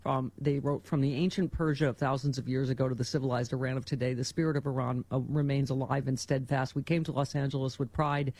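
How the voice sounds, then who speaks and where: Middle-aged woman, radio host, chatty and sarcastic, quick to laugh. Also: very deep voice, fairly even intonation, a little mellow. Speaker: radio talk show host. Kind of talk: casual radio chat with callers.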